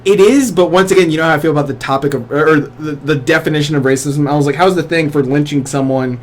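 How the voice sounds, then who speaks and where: A man's voice: on the high side, quick pace. Joking young man, faint background hiss. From Cuban American, raised in southern Georgia. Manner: laughs often, wry and joking, trails off into restarts. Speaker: podcast co-host. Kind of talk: casual chat.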